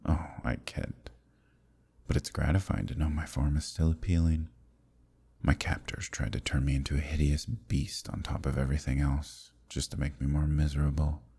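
A man playing an ancient, weary eldritch villain in an intimate ASMR roleplay, speaking in an unhurried, musing and wry way with formal wording. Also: steady tone, mellow, heavily acted. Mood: teasing. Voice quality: very deep, husky, gravelly, breathy.

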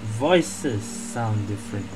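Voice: medium pitch, animated, slow, noisy background. A gaming streamer giving casual playthrough commentary, hesitant and thinking aloud.

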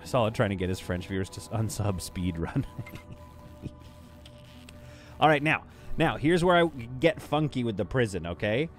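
A jokey Canadian man chatting through a game stream on a boom mic. His pitch leaps around a lot, and faint game music hums underneath. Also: moderate pace, pauses often. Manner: playful, jokey, lively, swings between loud bursts and quiet asides. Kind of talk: casual livestream chat while building in a game. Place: home room with a green screen. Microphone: studio mic on a boom arm.

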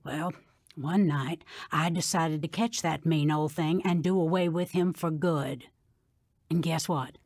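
Low-voiced, kindly old grandmother. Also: steady tone, a little slow, darkish tone, no room sound, clean studio sound. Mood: kindly, playful. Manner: folksy, soothing storytelling. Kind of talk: radio drama acting.

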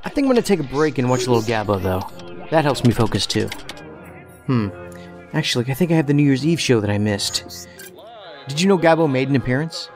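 A man's voice: mid-range voice, animated, fairly quick, long pauses, natural voice. A man plays a literal-minded, quirky investigator in a sci-fi radio play. His mid-range voice is precise and matter-of-fact. Faint music sits behind. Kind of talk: audio drama dialogue.

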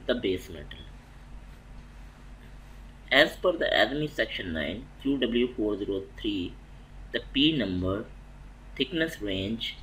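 Male instructor, low-pitched, measured halting delivery over slides, faint hiss behind. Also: fairly bright tone, rough recording. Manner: methodical, halting, restarts mid-phrase. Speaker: welding inspection instructor. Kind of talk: slide-based technical lecture.

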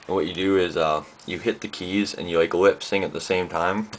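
A young man giving a casual home tutorial in a laid-back, halting way with lots of filler, recorded on a camera's built-in microphone in a small room. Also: deep voice, fairly even intonation. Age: young adult. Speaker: amateur musician demonstrating gear. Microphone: handheld camera's built-in microphone. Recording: noisy amateur camera microphone.

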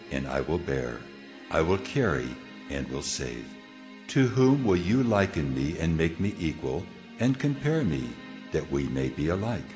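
God in a dramatized scripture reading. The voice is very deep, measured and full of solemn authority. Faint music runs underneath. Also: male voice, a little mellow. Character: God, solemn, commanding male deity speaking to his people.

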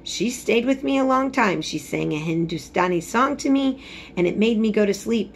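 A woman's voice: medium pitch, animated, normal speed, gravelly, slight background noise. A woman voicing an imperious, self-assured sickly ten-year-old boy in a storybook, giving orders in a commanding, unbothered way. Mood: insistent.

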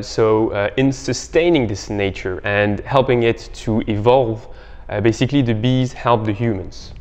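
A young man giving halting, explanatory answers as an interview guest. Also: on the low side, clean tone. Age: young adult. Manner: halting, hesitant phrasing. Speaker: startup co-founder, interview guest. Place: bright indoor living room. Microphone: clip-on lapel mic. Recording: muffled, reverberant room.

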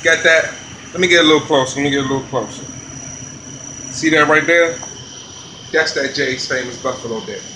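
A man, a chef filming a casual vlog in a commercial kitchen, talking in relaxed slang. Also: mid-range voice, noisy background. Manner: laid-back slangy hype talk. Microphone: handheld phone camera.

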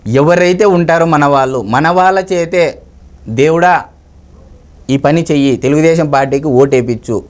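A man's voice: fairly high pitch, moderate pace, pauses often. A man giving his political opinions conversationally as an interviewee, emphatic and repeating phrases to drive points home. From Andhra Pradesh, India.

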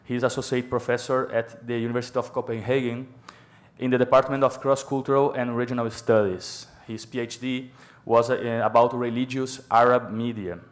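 A man's voice: medium pitch, flat delivery, normal speed. A man moderating in a large lecture hall, formally introducing a guest speaker from a panel table, hesitant and repeating words with many fillers. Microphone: table microphone.

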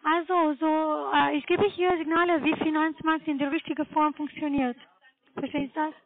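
A woman with a foreign accent giving a halting, formal sales pitch as a telemarketer on the phone. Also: flat delivery. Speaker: telemarketing caller, trading broker. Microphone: telephone call. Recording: tinny narrow-band phone line.

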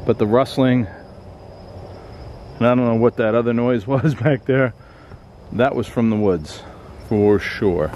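Man, quiet uneasy voice, mid pitch, talking to viewers, dark woods at night, handheld camera mic, faint crickets behind. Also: a little slow, pauses often, slight background noise. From Florida. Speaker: paranormal explorer vlogger. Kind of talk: hushed solo night exploration vlog. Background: crickets and insects at night.

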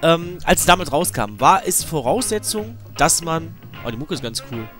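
High-pitched male let's player with a cold. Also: very animated, moderate pace. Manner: rambling, informal, slangy. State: slightly ill with a cold. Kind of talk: casual let's play commentary. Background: video game music.

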